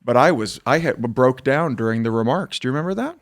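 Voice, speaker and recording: A man's voice: medium pitch, lively intonation. A middle-aged man joking playfully as a podcast guest. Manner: playful, teasing, quick jokes. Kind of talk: casual chat.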